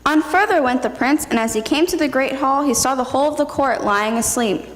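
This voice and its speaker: Female narrator for staged fairy tale, slightly high voice, recorded in a ringing hall. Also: a little fast. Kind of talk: scripted fairy-tale narration, readers theatre. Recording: noisy hall with long reverb.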